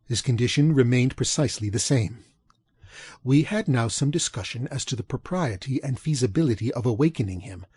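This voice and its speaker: Guy reading an old horror audiobook, raspy mid voice, formal and deliberate, real dry sound. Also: medium pitch, dark tone, dry recording. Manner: measured, formal, deliberate phrasing. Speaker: audiobook narrator. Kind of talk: scripted narration of gothic horror fiction.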